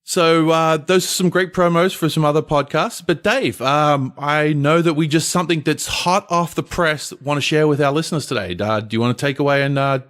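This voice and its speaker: A man's voice: a little high, moderate pace, hardly any pauses, fairly bright tone. A man chatting casually as a podcast co-host.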